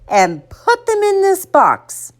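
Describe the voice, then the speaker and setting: A woman puts on an exasperated mother from a children's storybook. She is firm and emphatic, with pitch leaping widely as she lays down the rules. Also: big pitch swings, measured pace. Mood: firm coaxing. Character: exasperated, firm, bargaining mother of a young boy.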